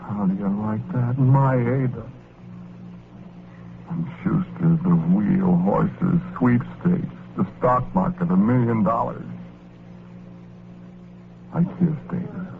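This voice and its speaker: Through the heavy noise and thin sound of an old radio broadcast comes a gullible, tender-hearted working-class fellow. His voice sits low. He tells his tale in plain, folksy slang, with a wistful streak. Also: animated, slow pace, vocal fry, pauses often, full character voice. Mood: sorrowful. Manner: plain colloquial slangy speech with folksy exclamations. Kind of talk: old-time radio drama, first-person narration. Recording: noisy, tinny vintage radio recording.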